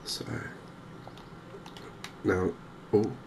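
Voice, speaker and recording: A man softly narrating a game in ASMR style, hushed and hesitantly thinking aloud. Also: very slow. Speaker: gaming video host. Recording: noticeable background ambience noise. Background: game ambience.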